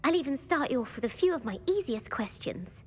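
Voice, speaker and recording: A woman's voice: sits high, very animated, a little fast, darkish tone, slight background noise. A woman playing an eager, bookish schoolgirl quizmaster in a video game, precise and enthusiastic. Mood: eager, persuasive. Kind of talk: video game character acting.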